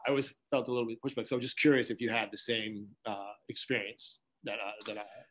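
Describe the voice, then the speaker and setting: Guy hosting a library podcast, talks fast, kinda rambles his questions. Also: fairly low pitch, little pitch movement, a bit bright, slight background noise. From Haitian family background. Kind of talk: casual interview questions.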